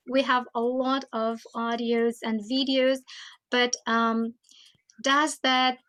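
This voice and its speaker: A woman speaks as a teacher trainer giving a talk. Her voice sits a little high. She moves slowly and deliberately, often stopping on "um" and "uh" before going on. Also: a bit bright. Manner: slow, thoughtful, with many hesitations.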